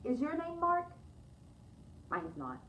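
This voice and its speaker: A woman reading aloud to children as a library storyteller, expressive, with chatty asides to the listeners. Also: high voice, slow pace, far from the mic, dull audio, a little hiss or hum. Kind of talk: animated children's read-aloud. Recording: echoey room.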